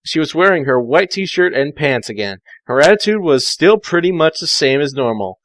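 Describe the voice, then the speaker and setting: A man's voice: on the high side, expressive, normal speed, fairly bright tone, dry recording. Sardonic man reading fan fiction aloud. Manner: sardonic, mocking asides. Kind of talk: amateur read-aloud with sardonic side comments.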